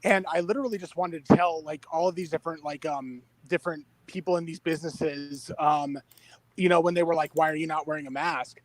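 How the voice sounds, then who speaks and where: A man's voice: fairly high pitch, fairly even intonation, a little fast, no room sound, low-quality recording. A man from Idaho in a casual podcast chat, indignant and hesitant, full of fillers. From Idaho, USA.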